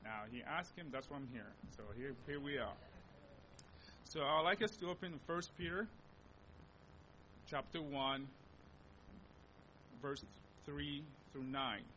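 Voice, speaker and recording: Earnest Congolese-accented young preacher, lo-fi. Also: male voice, pushed and bright. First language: Lingala. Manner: earnest, halting, often repeats and corrects himself. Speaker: missionary guest preacher. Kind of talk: sermon with scripture reading. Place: church sanctuary. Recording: dull lo-fi recording with faint rumble.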